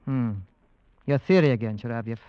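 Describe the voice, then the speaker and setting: An actor playing a hesitant, inquisitive gentleman in a vintage radio drama, with a halting, probing manner. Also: medium pitch, smooth, lightly acted. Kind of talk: 1940s-50s radio drama acting. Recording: dull vintage radio recording.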